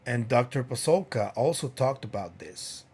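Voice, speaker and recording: A man hosting a podcast, talking in a casual solo commentary with lots of fillers and pauses. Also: mid-range voice, lively intonation, darkish tone, husky. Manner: unhurried, many fillers. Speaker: podcast host.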